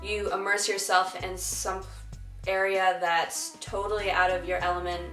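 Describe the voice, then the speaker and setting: A woman's voice: medium pitch, little pitch movement, measured pace, a bit bright. Young woman, distant and echoey. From southern Vermont. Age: young adult. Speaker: university student. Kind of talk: casual interview testimony. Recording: distant mic in an echoey room with faint music.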